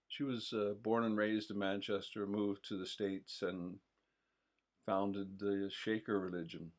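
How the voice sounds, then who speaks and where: A man co-hosting a relaxed live stream, his voice sitting a little low. He talks unhurriedly and drifts through his points with fillers and restarts. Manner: rambling, hesitant, many fillers and restarts. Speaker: co-host of a local history stream. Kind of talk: casual chat.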